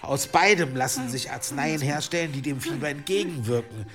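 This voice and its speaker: An actor playing an earnest, careful medieval monk and physician in a naturalistic radio drama. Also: male voice, medium pitch, normal speed, music in the background, natural voice. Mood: worried, earnest.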